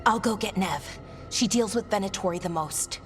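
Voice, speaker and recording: A woman's voice: mid-range voice, moderate pace, soft background music, lightly acted. A woman playing an earnest, slightly anxious companion in a fantasy video game, sincere and hesitant. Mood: determined.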